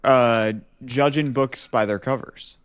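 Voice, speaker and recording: A man's voice: medium pitch, fairly even intonation, slow, clean tone, no echo. A man co-hosting a podcast in casual banter, jokey and self-aware. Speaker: podcast co-host.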